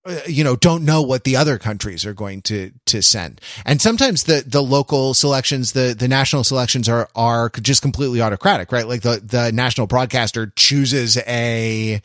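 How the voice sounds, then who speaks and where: A man on a podcast explaining in casual analytical discussion, halting and repeating phrases as he reasons. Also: mid-range voice, fairly bright tone, dry recording, studio quality. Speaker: podcast panelist.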